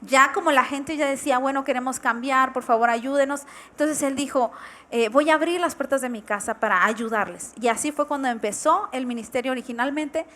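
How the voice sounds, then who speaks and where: A woman's voice: high-pitched, fairly quick, a bit bright. A woman, co-hosting a church TV program and telling its story earnestly. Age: 20s. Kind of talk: warm testimonial talk on TV.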